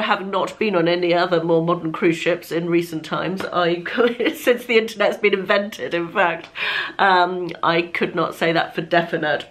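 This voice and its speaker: A woman talks straight to camera in an easy, chatty vlog. Her voice sits a little low and sounds slightly croaky, and she is self-deprecating, laughing between phrases. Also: a bit bright. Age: 50s. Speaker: lifestyle vlogger. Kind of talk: casual chat to camera.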